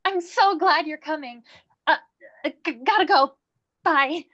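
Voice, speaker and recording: A woman's voice: sits high, a little slow, fairly bright tone, highs cut off. A woman playing a sweet, eager young goddess with a crush in a comic staged reading, earnest, hesitant and girlish. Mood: flustered joy.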